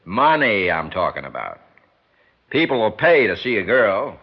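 An actor playing a paunchy, cigar-smoking sports promoter in a 1960s radio drama, persistent and full of slangy sales patter. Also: male voice, on the low side, very animated, a little slow, breathy edge, full character voice. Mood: persuasive. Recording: muffled, slightly noisy old radio recording.

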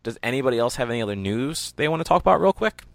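A man's voice: mid-range voice, lively intonation, racing, creaky, fairly bright tone. A man hosting a casual gaming chat, blunt and impatient, with some swearing.

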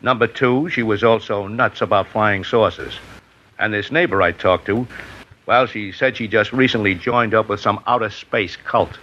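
A man's voice: fairly low pitch, normal speed, sharp tone. An actor playing a plain-spoken male investigator who reports his findings, in a 1950s radio drama. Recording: dull lo-fi 1950s radio broadcast.

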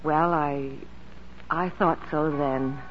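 A woman's voice: deep voice, steady tone, slow pace. Young wistful teasing lady patient, 1940s radio drama, dull hissy old recording. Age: young adult. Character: lovely young woman pianist patient, playful and wistful.

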